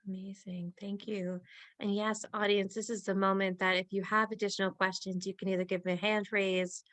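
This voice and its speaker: A woman's voice: mid-range voice, level tone, brisk, a bit bright. A woman hosting and moderating an audience discussion, addressing panelists and viewers. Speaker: host, moderator.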